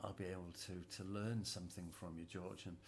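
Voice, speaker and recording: A man's voice: deep voice, steady tone, brisk, crowd noise. A man hosting a casual music chat, hesitant and repeating words, laughing often. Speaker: host.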